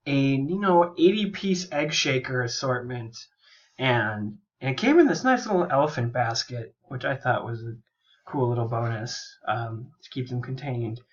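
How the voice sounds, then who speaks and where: Young man, recovering from a cold, halting casual delivery, dull lo-fi recording. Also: mid-range voice, lively intonation. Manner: casual, halting, often restarting sentences. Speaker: music therapy student. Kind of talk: class presentation.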